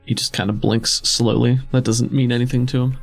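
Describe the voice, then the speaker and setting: A man narrates a tabletop game as its game master. His mid-pitched voice has plenty of fry, and he shifts between description and casual table talk. Soft music sits underneath. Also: flat delivery, a little fast. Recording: music behind. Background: background music.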